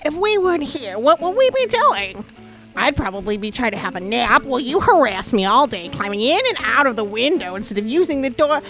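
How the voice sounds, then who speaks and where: Exasperated, nagging comedy woman played by an actress, very high voice, lively swooping delivery, for radio farce. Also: fairly quick, soft background music. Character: exasperated fussy woman bickering with window-climbing companion. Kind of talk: comic radio drama acting.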